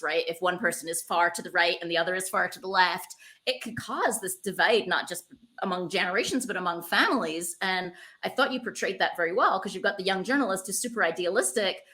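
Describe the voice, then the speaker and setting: A woman's voice: fairly low pitch, sharp tone. A woman hosting a conversational interview in an effusive, encouraging way. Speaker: interviewer, host.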